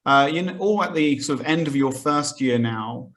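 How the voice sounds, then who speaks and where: A man's voice: medium pitch, normal speed, fairly bright tone. A man hosting a psychology podcast, summarizing his guests' answers and asking thoughtful questions with many fillers. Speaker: podcast host. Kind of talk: conversational interview questions.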